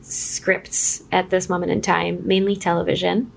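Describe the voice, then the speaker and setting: A woman from Israel talking casually as an interview guest, telling stories in a chatty, halting way that often interrupts itself. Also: on the low side, flat delivery, creaky, a little hiss or hum, poor audio. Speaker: guest, screenwriter. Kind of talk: casual conversational storytelling.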